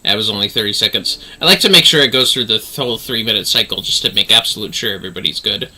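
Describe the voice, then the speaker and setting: A man's voice: fairly low pitch, fast, sharp tone, husky, poor audio. A man hosting a gaming livestream, chatting to viewers and reading game dialogue aloud.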